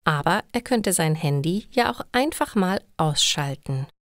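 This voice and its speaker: A woman's voice: on the low side, animated, a little slow, no echo. Woman reading stories with a dry sound. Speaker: audiobook narrator for language learners. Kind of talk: scripted story narration for learners.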